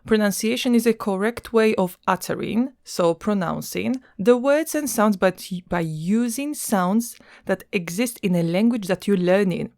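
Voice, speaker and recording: A woman's voice: mid-range voice, measured pace, few pauses, a little mellow. Polish-accented woman explaining pronunciation. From Poland. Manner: explanatory, with fillers and restarts. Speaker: podcast host, language teacher. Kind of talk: educational podcast monologue.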